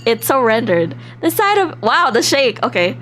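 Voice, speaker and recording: A woman live streaming a game in a casual, excitable, giggly way, reading text aloud and reacting expressively as she goes. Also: on the high side, normal speed, soft background music. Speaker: livestream host.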